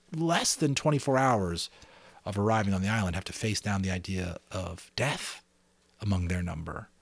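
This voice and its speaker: Expressive male storyteller, dry recording. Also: low-pitched, husky, no room sound. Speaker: amateur audiobook reader and channel host. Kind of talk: dramatic narration with casual commentary.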